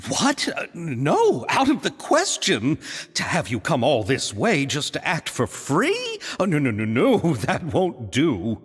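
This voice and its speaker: Excitable, flustered film director. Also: male voice, fairly high pitch, takes it slowly, breathy edge, long reverb tail, exaggerated voice. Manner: hesitant, flustered, effusive. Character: earnest, anxious, excitable male film director. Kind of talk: video game voice acting. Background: music.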